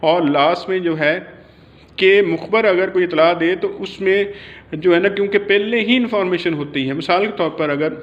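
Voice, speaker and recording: Male law lecturer, Pakistani accent, high voice, halting informal explainer delivery with English terms, lo-fi recording. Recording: dull, lo-fi audio.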